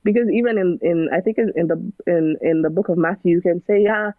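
A woman's voice: lowish voice, brisk, no echo. A woman speaks earnestly and drifts into preaching, piling up rhetorical questions. Her voice comes through a narrow, phone-like channel, dry and close, with no room sound. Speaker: guest. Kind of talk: casual conversation.

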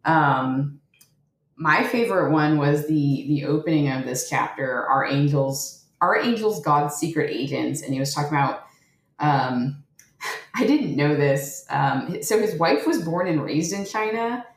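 A woman with a low voice talks through a book in a loose, chatty way, full of "like" and "um". She retells its stories with a dry, sarcastic edge. Her voice rings in an echoey room. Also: animated, a little slow. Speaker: podcast co-host. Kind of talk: casual book discussion.

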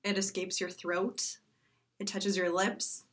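A young woman speaking earnestly and reflectively in a discussion, with deliberate, emphatic phrasing that leans on repetition. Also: medium pitch, level tone, a bit bright. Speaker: panel discussion participant.

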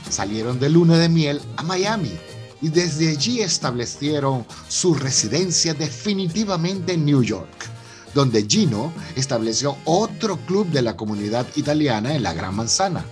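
A man's voice: fairly high pitch, lively intonation, unhurried, darkish tone, soft background music. A man with a Venezuelan accent narrating a melodramatic radio soap in a theatrical storytelling manner. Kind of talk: scripted radio drama narration.

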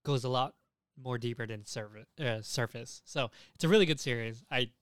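A man's voice: medium pitch, moderate pace, fairly bright tone, clean tone, dry recording, clean studio sound. A man hosting a casual podcast, talking enthusiastically and swearing freely as he goes. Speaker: podcast host.

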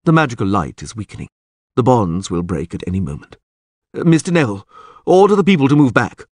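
A man voicing a grave, knowing male character in an audiobook, who explains and warns in a measured, ominous way. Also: mid-range voice, sing-song, a little mellow, no room sound, clean studio sound. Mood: gloomy warning.

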